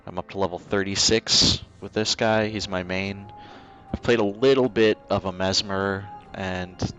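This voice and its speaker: A man's voice: low voice, steady tone, unhurried, a bit bright. A man giving casual, offhand gameplay commentary as the host of a livestream. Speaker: livestream host, game reviewer. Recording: game music behind the voice. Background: video game music.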